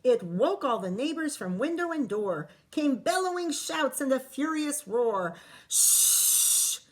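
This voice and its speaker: A woman teacher reads a picture book to young children at an unhurried, deliberate pace. Her voice sits a little high. She stops often to ask questions, count along and point things out. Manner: expressive, asks questions and counts aloud with children. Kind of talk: children's read-aloud with commentary.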